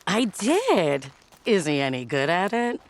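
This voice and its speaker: A woman's voice: lowish voice, big pitch swings, a little slow. A woman voicing an easygoing, frank and supportive woman in a motion comic, with casual, slangy phrasing. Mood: playful.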